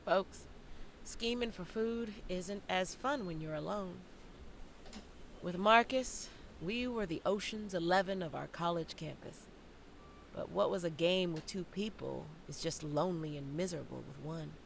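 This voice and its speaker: An actress playing a wry, candid young theater graduate in a dramatic first-person audio play monologue addressed to the audience. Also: female voice, on the low side, a little slow, creaky, a little hiss or hum. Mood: wistful, wry. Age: young adult. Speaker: actor narrating an audio play.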